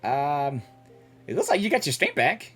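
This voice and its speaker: An excitable streamer giving casual live reactions to a game, often repeating phrases. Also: medium pitch, moderate pace, faint music behind. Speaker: gaming streamer.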